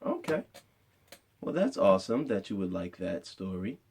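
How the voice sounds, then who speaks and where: A man with a relaxed, mellow voice talks casually with a child. He plays the encouraging father and host, putting simple questions to the young reader. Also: deep voice, normal speed, vocal fry, husky. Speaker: father interviewing his child on a storytime show.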